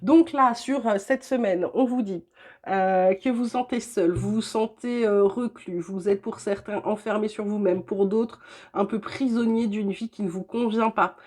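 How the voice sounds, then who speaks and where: A woman's voice: medium pitch, a little slow, darkish tone. An older woman with a cold, reading weekly tarot guidance from home in a chatty, reassuring way with frequent hesitations. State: has a cold. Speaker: tarot reader.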